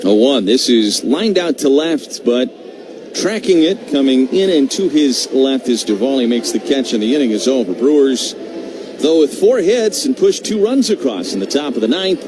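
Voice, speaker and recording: A man doing live baseball radio play-by-play in measured calls with pauses between them. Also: medium pitch, lively intonation. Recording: noisy live radio broadcast.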